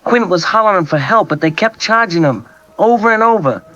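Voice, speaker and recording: Actor as agitated farmer, high-pitched, muffled audio. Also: male voice, lively intonation, fairly quick, bright, forceful tone. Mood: shaken, alarmed. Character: shaken farmer eyewitness of a cattle attack. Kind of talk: radio drama news interview. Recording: dull lo-fi field audio.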